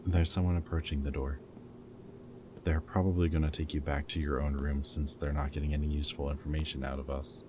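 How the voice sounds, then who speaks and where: A man's voice: rumbling low, level tone, brisk. A man playing a goofy, flirty, protective rebel captive in a dystopian roleplay audio drama, with a wry, intimate way of talking. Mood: tender, worried. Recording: background music, loud ambient noise in places.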